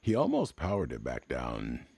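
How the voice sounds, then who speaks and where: A man narrating a scripted story in the manner of classic radio drama, somber and measured, with dramatic pauses between short phrases. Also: wildly swooping pitch, a little mellow, dry recording. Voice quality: very deep, gravelly, husky.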